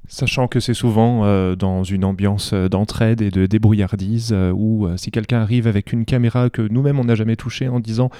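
A man from a community TV association calmly explaining how they help visitors. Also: on the low side, normal speed, hardly any pauses, no room sound, clean studio sound. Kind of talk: radio interview.

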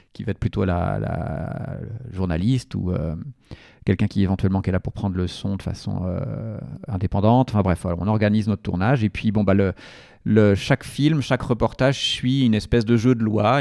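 A man's voice: fairly low pitch, vocal fry, a little mellow, no echo, clean studio sound. A man from a community TV association explaining its work in a radio interview, hesitant and full of restarts.

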